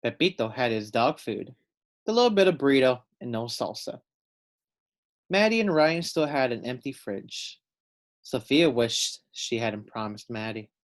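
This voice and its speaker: A man's voice: mid-range voice, lively intonation, a little slow. A man reading a children's storybook aloud, adding simple explanatory asides for young listeners. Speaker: storybook reader.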